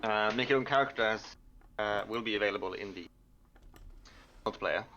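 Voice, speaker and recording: Bright, lowish-voiced man chatting on stream. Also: normal speed, lo-fi sound, a little hiss or hum. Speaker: game development team member answering questions. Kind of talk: casual live stream chat.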